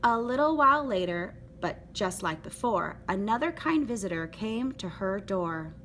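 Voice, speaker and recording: A woman reading a children's picture book aloud with an expressive, rhythmic delivery. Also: medium pitch, normal speed, a little hiss or hum. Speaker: storybook reader.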